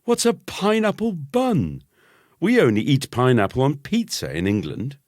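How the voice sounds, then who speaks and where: A man's voice: mid-range voice, sing-song, measured pace, darkish tone, dry recording, clean studio sound, voice pushed up. A man voicing a smug, pompous, chubby boy cousin from England in a storybook reading. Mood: mocking, amused. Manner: pompous, correcting.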